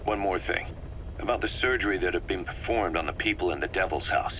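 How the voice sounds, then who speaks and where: A man playing an analytical, wary military second-in-command in a video game, explaining things in a measured, methodical way. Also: low-pitched, background noise, close to an everyday voice. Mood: grave, concerned. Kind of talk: scripted video game cutscene dialogue.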